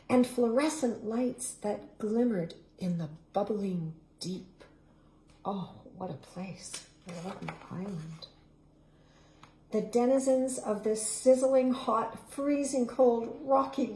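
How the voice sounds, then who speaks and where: A woman reading a children's storybook aloud, expressive and savoring each word, stopping for playful asides to her young listeners. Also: fairly high pitch. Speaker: local councillor reading a children's book. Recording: echoey room.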